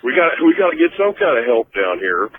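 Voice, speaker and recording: A man with an American accent calls in to a radio program and talks casually. His medium-pitched voice moves up and down in a lively way. The line is thin and phone-like. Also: brisk, a little hiss or hum. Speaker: caller.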